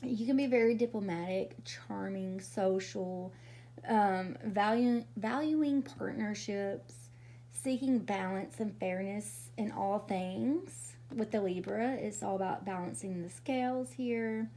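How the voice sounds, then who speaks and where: Chatty woman, raspy from a cold. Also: mid-range voice, slow pace, warm tone, a little hiss or hum, low-quality recording. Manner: chatty, fills with "okay?" and "like". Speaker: tarot reader. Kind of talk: casual tarot reading.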